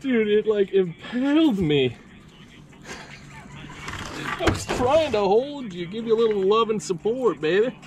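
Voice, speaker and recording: Excitable man on a night boat. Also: high voice, measured pace, pauses often. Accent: Floridian American. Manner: excitable, swearing, cooing at the fish. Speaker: angler filming his fishing trip. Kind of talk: excited casual fishing chatter. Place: small boat on the water at night. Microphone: action camera worn on the body. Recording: noisy outdoor action-camera audio.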